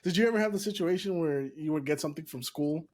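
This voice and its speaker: Higher-pitched man telling stories, first language Spanish. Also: fast, a little mellow. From California, USA. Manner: storytelling, swears casually. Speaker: comedian guest. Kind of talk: casual chat.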